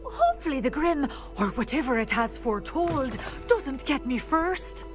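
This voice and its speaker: A woman's voice: sits high, very animated, normal speed, music in the background. An actress playing a fretful, theatrical older witch in a video game, plaintive and dramatic. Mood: hesitant, worried. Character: anxious, dramatic older witch fearing an omen of death. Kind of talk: video game character acting.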